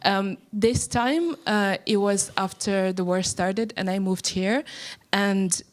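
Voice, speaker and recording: A woman from Russia in the audience of a panel event, sharing her experience of emigrating, speaking reflectively with many pauses and fillers. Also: mid-range voice. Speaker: audience member.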